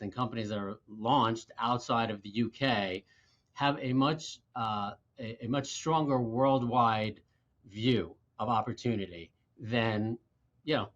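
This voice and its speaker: Chatty host from Brooklyn, dull lo-fi audio. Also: male voice, mid-range voice, a bit bright. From Brooklyn, New York. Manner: chatty, self-deprecating, enthusiastic. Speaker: podcast host. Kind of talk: interview host.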